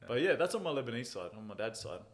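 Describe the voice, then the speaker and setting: A man, an MMA fighter being interviewed, telling stories in a relaxed, casual way and chuckling at his own jokes. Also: mid-range voice, fast.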